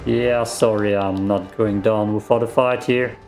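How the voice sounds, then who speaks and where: A man's voice: fairly low pitch, steady tone, unhurried, a little mellow, soft background music. German-native man rambling over gameplay. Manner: rambling, self-deprecating, reacts aloud to the game. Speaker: let's play gamer. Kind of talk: casual gameplay commentary. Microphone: desk microphone.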